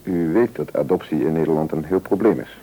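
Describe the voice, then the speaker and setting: An actor playing a sober, cautious official in a radio play, explaining formally and in measured words with a Netherlands Dutch accent. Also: male voice, rumbling low, dark tone, slightly rough, heavily acted, poor audio.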